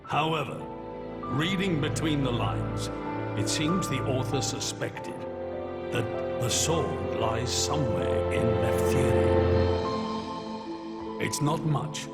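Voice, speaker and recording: Slow, creaky, solemn old king. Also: mid-range voice. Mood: grave, measured. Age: older. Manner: measured, grave, formal. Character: solemn elderly king and father. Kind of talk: dramatic video game voice acting. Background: loud background music.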